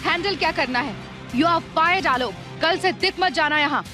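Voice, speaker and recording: A woman's voice: high voice, moderate pace, music in the background, lightly acted. An actress playing a commanding female store owner in an audio drama. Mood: stern. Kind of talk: Hindi audio drama acting.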